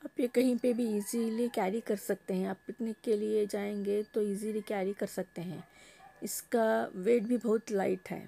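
A woman's voice: mid-range voice, steady tone, unhurried, creaky, dark tone. A woman vlogger casually narrating an unboxing at home, explaining each step in a homely way. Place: home, table top.

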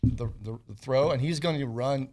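A man talking as a guest on a sports radio show, casual and halting but enthusiastic. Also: mid-range voice, warm tone, slight background noise.

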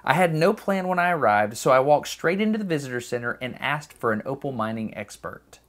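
Lively Southern man explaining with excitement. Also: medium pitch, brisk, noisy background. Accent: Southern American. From Alabama, US. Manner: curious, excitable, asks many questions. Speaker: science video host. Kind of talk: enthusiastic explainer narration. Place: home office; outdoor desert site.

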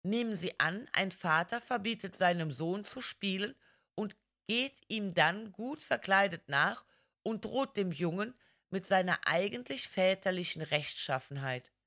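A woman voicing a polite, gentle male priest detective who explains things calmly in a crime audiobook. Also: medium pitch, unhurried. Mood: confiding.

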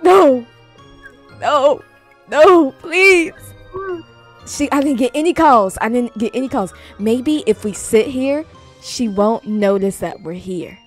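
A woman's voice: sits high, expressive, slow, faint music behind, exaggerated voice. A woman playing a reluctant, flustered granddaughter in an improvised game roleplay, slangy and repeating herself. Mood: dreading. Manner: slangy, dramatic, stammering repetition.

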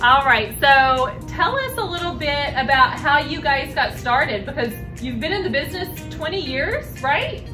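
A very high-voiced American woman hosts an upbeat promotional interview. She is enthusiastic and gushing. She sounds a bit away from the mic, with clear music behind her. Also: sing-song, measured pace, hardly any pauses, far from the mic, rough recording. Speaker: host, realtor. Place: indoors at a restaurant bar. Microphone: camera a few feet away.

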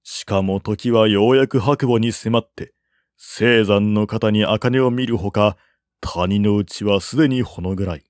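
A man's voice: lowish voice, unhurried, a little mellow, dry recording, clean studio sound. A man narrating a historical war novel as an audiobook, in a dramatic literary style.

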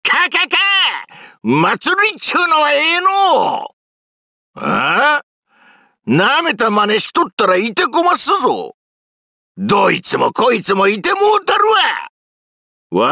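A man playing a brash, violent fire god in a Japanese game, gravelly, roaring threats with a Kansai accent. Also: very high voice, wildly swooping pitch, moderate pace, sharp tone, no echo, clean studio sound, full character voice. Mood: gleeful menace. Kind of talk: theatrical Japanese game villain lines.

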